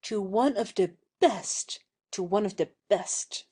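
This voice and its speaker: A woman's voice: mid-range voice, takes it slowly, mellow, husky. An actress playing a headstrong, passionate young woman in an audio stage satire, emphatic and exasperated in manner. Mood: defiant.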